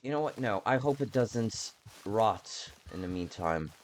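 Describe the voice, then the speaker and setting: Male game streamer, lowish voice, very animated intonation, casual self-deprecating rambling with swearing. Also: measured pace, slight background noise. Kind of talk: casual gameplay commentary.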